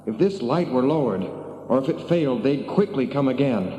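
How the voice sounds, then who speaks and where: An actor playing an earnest, haunted hobbit hero in a dramatised fantasy audiobook, theatrical and urgent. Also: male voice, mid-range voice, animated, normal speed, mellow, lightly acted. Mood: grave, wary. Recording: echoey cavern reverb.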